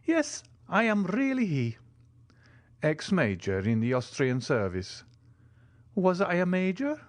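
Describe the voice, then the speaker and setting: A man's voice: high-pitched, huge pitch swings, slow, dry recording, clean studio sound, voice pushed up, full character voice. A man voicing a timid, eager old Italian ex-major who fawns and exclaims. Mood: eager, fawning. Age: older.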